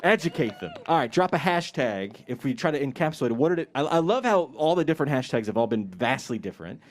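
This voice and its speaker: Energetic male event host, pitch that swings widely, chatty and playful with the graduates. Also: fast. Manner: energetic, joking, repeats questions. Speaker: pre-ceremony host interviewing graduates. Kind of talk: upbeat live-stream interviews. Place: outdoors at a graduation ceremony.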